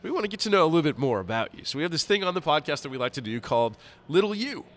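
Raspy, congested male podcast host. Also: fairly high pitch, sing-song, rapid-fire, a bit bright. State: nasally congested. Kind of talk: casual interview chat. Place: conference center during a busy event. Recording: live at a busy event venue.

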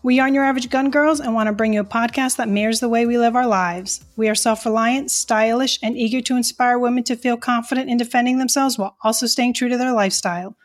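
A woman's voice: fairly high pitch, dry recording, studio quality. Chatty upbeat woman podcast host. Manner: chatty, upbeat, lots of fillers. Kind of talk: casual chat. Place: trade show booth.

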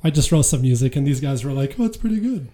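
A man's voice: medium pitch, animated, fast, warm tone. A man, a band founder, chatting laid-back in a podcast interview, laughing and swearing freely.

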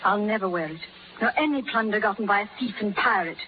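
An actress playing a proud, scornful young gentlewoman with haughty, precise diction in 1930s radio drama style. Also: medium pitch, fast talker, lightly acted. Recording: muffled, noisy 1930s radio recording.

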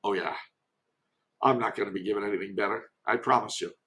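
A man's voice: lowish voice, fast, bright, forceful tone. An older man speaking as a podcast guest in a discursive, emphatic storytelling manner, mixing commentary with anecdotes.

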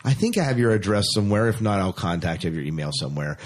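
Male podcast host, low register, chatty and informal. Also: animated, very fast. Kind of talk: casual chat.

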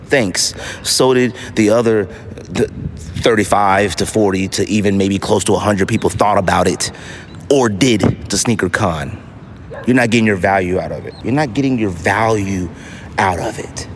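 A man's voice: on the low side, normal speed. Man podcasting casually over background noise. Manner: emphatic, repetitive for emphasis. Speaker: podcast host. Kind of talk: casual solo podcast monologue.

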